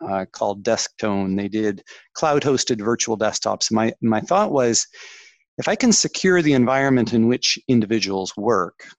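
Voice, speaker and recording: A man's voice: fairly low pitch, expressive, moderate pace, dry recording. A Canadian man, a tech executive, talking reflectively about his career as a guest on a podcast interview, with frequent restarts.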